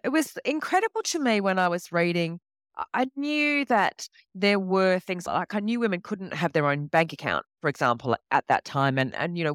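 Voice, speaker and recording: A woman's voice: on the low side, fairly bright tone, no room sound, studio quality. A woman novelist answering interview questions in a reflective, explanatory, conversational way.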